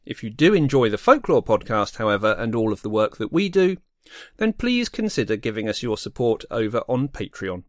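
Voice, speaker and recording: A man's voice: medium pitch, sing-song, a little fast, no echo, clean studio sound. A man presenting a scripted podcast outro as host.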